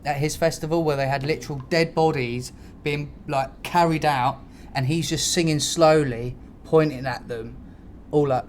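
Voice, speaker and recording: A man hosting a casual podcast, telling stories in a slangy, sweary, animated way. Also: a little high, normal speed, slight background noise. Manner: animated storyteller, sweary, slangy, mimics noises and dialogue. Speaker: podcast host.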